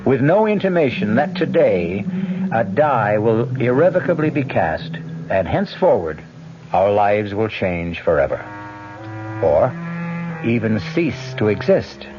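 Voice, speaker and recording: A man giving a measured, portentous scripted narration for a radio mystery. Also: lowish voice, very animated, noisy background. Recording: lo-fi radio broadcast with music.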